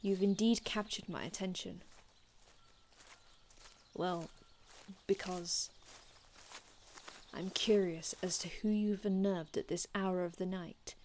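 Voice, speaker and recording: A woman playing an aloof, formal male vampire healer from another time in an ASMR roleplay, speaking in a measured, haughty, hushed manner with archaic phrasing. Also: medium pitch, steady tone, a little hiss or hum, natural voice. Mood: curious, wary. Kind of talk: ASMR roleplay, scripted in-character monologue.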